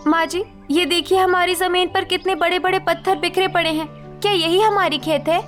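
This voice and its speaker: A woman's voice: extremely high pitch, steady tone, normal speed, music in the background. A woman voicing an earnest, educated young village bride in a cartoon story. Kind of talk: cartoon moral story acting.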